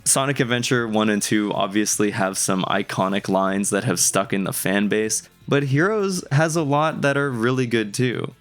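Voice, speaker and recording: Young guy doing a video essay, lowish voice, chatty and into it, guitar music behind him. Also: moderate pace, hardly any pauses. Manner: conversational, casually enthusiastic. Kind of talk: scripted video essay narration with casual asides.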